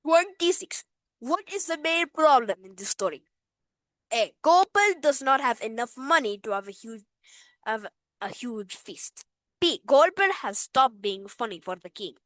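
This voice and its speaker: A woman's voice: high-pitched, lively intonation, unhurried, fairly bright tone, dry recording. A woman reading multiple-choice quiz questions aloud while putting on a playful, exaggerated Indian accent. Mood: teasing. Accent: put-on Indian.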